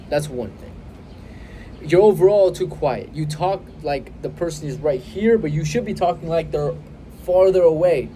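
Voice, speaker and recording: A young man in his twenties speaks straight to a phone held close, coaching with confident emphasis and deliberate pauses. His voice sits a touch high yet stays warm and mellow. Faint outdoor noise hums underneath. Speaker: self-improvement coach. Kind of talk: direct-to-camera advice vlog.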